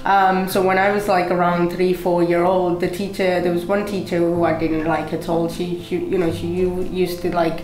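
A woman's voice: lowish voice, flat delivery, normal speed, few pauses, darkish tone, roomy sound, soft background music, rough recording. A young woman from Pakistan whose first language is Urdu, telling her life story to an interviewer with many fillers and restarts. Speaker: motivational speaker, guest. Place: indoor studio set with brick wall, seated at table.

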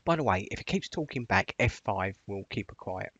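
A man with a fairly low voice talks a viewer through software steps. He is chatty and instructive, leaning on the first words of each phrase. The recording is dry and a little dull, as if on a close computer mic. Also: animated, brisk, breathy edge, dry recording. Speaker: software tutorial presenter. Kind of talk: informal instructional screencast narration.

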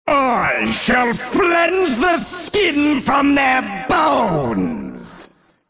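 An arrogant demon prince delivers wrathful game villain lines in a high-pitched male voice that swings wildly. The delivery is imperious and very slow, with faint music behind it. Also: a bit bright, heavily acted. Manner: bombastic, imperious, drawn-out delivery. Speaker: fan voice actor.

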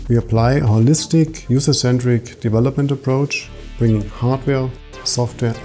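A man in his thirties, an engineer, briskly listing details in an interview, a bit far from the mic. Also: medium pitch, fairly even intonation, dark tone, faint music behind. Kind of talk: interview statement.

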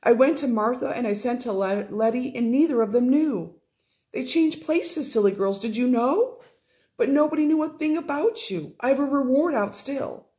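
A woman reading aloud voices a prosperous young stepmother, high, breathless and effusive. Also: moderate pace, mellow, close to an everyday voice. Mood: gushing, tearful.

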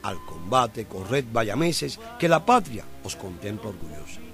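A man's voice: lowish voice, sing-song, a little slow, a bit bright, faint music behind. A man hosting a radio show, reading a poetic text in an earnest, declamatory way. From Cuba. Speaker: radio host.

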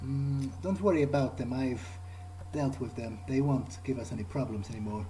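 A man with a dark, relaxed voice plays his game character aloud. His delivery is measured and hesitant, as if improvising each reply. There is faint noise behind him. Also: mid-range voice, poor audio. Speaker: gamer role-playing his character.

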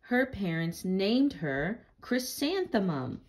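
Slow, deliberate woman reading a storybook aloud. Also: mid-range voice, big pitch swings. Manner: slow, deliberate storybook phrasing with gentle questions. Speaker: read-aloud reader. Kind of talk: storybook read-aloud with casual chat.

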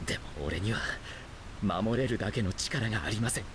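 A man's voice: on the low side, brisk, background noise, natural voice. A man playing a stern, protective adult ninja teacher in an anime game, speaking earnestly and firmly. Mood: pleading, earnest. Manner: earnest, firm, admonishing. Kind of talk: dramatic anime game acting.

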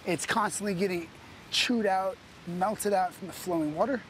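A man's voice: high voice, normal speed, fairly bright tone, slight background noise. A man working as a glacier guide, explaining things to a host outdoors on the ice in a confident, reassuring way. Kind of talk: casual explanation. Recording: music bed behind.

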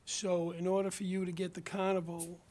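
An older board member at a public meeting, asking measured, procedural questions. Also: male voice, high-pitched, level tone, a little mellow. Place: town hall meeting room.

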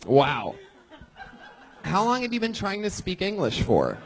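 A man hosting a live comedy show on a club stage, teasing a guest with brash, quick jokes. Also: sits high, huge pitch swings.

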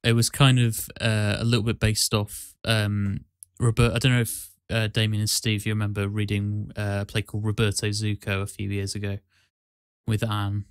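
A man's voice: fairly low pitch, flat delivery, normal speed, clear, resonant tone, no echo, studio quality. A man hosting a podcast in a casual, chatty style. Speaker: podcast host. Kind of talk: casual chat.

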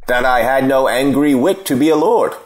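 An actor playing a churlish cynic philosopher in a Shakespeare reading, answering with biting contempt. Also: male voice, medium pitch, moderate pace, close to an everyday voice. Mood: scornful, biting. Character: Apemantus, scornful churlish cynic philosopher, male. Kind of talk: unrehearsed Shakespeare play reading.

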